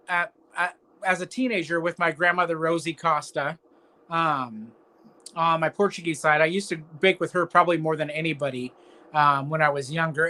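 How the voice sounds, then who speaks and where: A man's voice: a little high, pushed and bright. A man in his thirties from California, a baker answering interview questions in an easygoing way. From California, USA. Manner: easygoing, talks in long stringing sentences. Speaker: guest baker.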